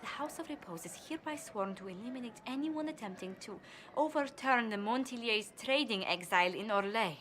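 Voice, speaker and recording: A woman's voice: on the high side, fairly quick, fairly bright tone, lightly acted. A woman playing a refined, anxious noblewoman diplomat in a video game, speaking formally, precisely and earnestly about duty. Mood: grave, composed. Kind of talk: dramatic video game cutscene acting. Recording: long hall reverb, clear background noise.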